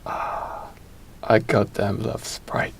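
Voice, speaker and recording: A man's voice: drawn-out words, a little hiss or hum. A man playing a simple, grumbling, cursing labourer who mutters to himself in a comic amateur radio play. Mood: contented.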